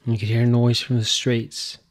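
A man narrating a guided meditation, giving gentle, unhurried instructions in short phrases with pauses between them. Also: mid-range voice, flat delivery.

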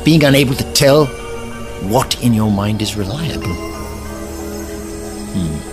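A man's voice: on the low side, expressive, slow pace, over music. A man playing an eccentric, haughty alien scientist in an audio drama, with pompous, precise formal phrasing. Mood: grave, thoughtful.

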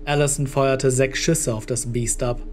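Ein Mann liest als Erzähler eine Geschichte vom Skript. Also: male voice, mid-range voice, fairly even intonation, measured pace, darkish tone, a little hiss or hum. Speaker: narrator. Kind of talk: scripted story narration.

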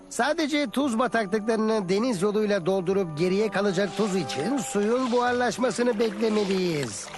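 An actor playing a kindly old teacher in an educational cartoon dub, explaining things to children. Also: male voice, high-pitched. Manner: didactic, addressing children. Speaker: narrator-teacher.

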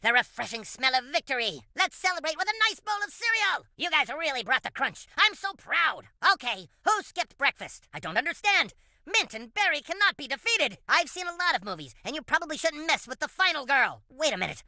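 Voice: high-pitched, very animated, a little fast, pushed and bright, dry recording, clean studio sound, heavily acted. An actor voicing an earnest, boastful alien boy superhero in a cartoon video game, speaking in grandiose, theatrical declarations. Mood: plucky, boastful. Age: child character. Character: earnest, boastful boy superhero from another planet, cereal-obsessed.

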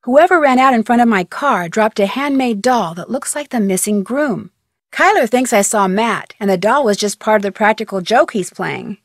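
A young woman with an American accent playing a chatty, curious, level-headed amateur detective in a video game, speaking conversationally with clear diction. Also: a little high, expressive, normal speed, no echo, clean studio sound. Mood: chatty, explaining. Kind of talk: video game voice acting, conversational phone-call dialogue.

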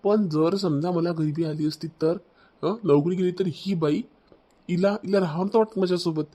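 A man's voice: high-pitched, steady tone, normal speed, mellow. A man voicing an aggrieved, proud young husband in a cartoon family drama, accusing and ranting, with a Vidarbha Marathi accent. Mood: indignant. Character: aggrieved, proud young husband complaining about his wife.

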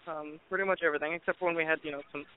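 A film director from Colorado, talking casually and joking in an interview with plenty of fillers. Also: male voice, a little high, steady tone, racing, smooth. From Colorado, USA. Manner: joking, talkative, thinks aloud with fillers. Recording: tinny phone line.

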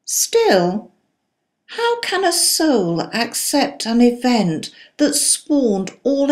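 A woman reciting poetry in a solemn, deliberate, dramatic and declamatory manner. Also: mid-range voice, a little mellow. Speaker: poetry reader.

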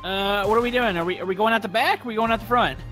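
A gamer chatting casually with friends during play, reacting in an animated, nervous way. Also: medium pitch, racing, faint music behind.

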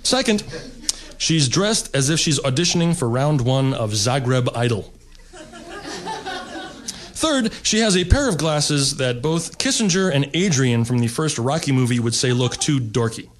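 A man's voice: mid-range voice, lively intonation, unhurried, crowd noise. Middle-aged man from the US, seated stand-up storyteller; wry, rambling delivery. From United States. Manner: wry, rambling with fillers, mock-snobbish asides. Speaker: stand-up comedian. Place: seated at a table, indoor stage.

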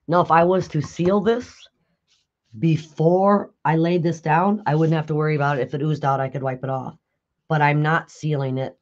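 A raspy, hoarse woman hosting a live craft tutorial, chatting with viewers and answering their comments while she works. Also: sits low, darkish tone, lo-fi sound. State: hoarse after illness. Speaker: craft video host. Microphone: overhead camera on a craft table.

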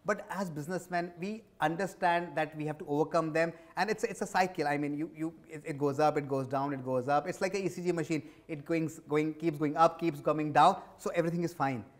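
A man's voice: a little high, fairly even intonation, a little fast, hardly any pauses. A man in his forties with an Indian accent, answering interview questions conversationally as a business executive, with emphatic repetition. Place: indoor office lounge set. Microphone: lapel mic.

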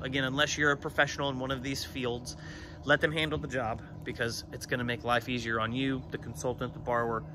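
A man in his thirties talks straight to a phone camera at arm's length, plain-spoken and matter-of-fact as he walks viewers through a loan question. His voice sits fairly high for a man. He is outdoors beside a brick building, with street and passing-car noise clearly heard behind him. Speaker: renovation loan consultant. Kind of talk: casual explainer to camera. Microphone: phone camera held at arm's length.

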